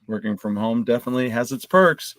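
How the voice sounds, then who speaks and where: A man's voice: medium pitch, animated, quick pace, a bit bright. A man co-hosting a podcast, chatting casually and sometimes reading items out to the group. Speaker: podcast co-host.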